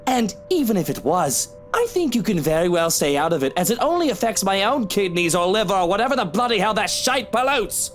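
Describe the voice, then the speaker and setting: Boozy husband for a visual novel: jealous, needling, theatrical, widely swinging pitch, music underneath. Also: male voice, very animated, brisk, few pauses. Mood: irritated, defensive. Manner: mocking endearments, needling, theatrical. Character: hungover drinking husband, jealous, sarcastic, quarrelsome man. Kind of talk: visual novel game voice acting.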